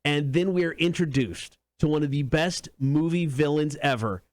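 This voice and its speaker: A man's voice: fairly high pitch, moderate pace, no room sound. A man co-hosting a podcast, telling stories casually with slangy, emphatic enthusiasm. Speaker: podcast co-host. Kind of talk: casual chat and storytelling.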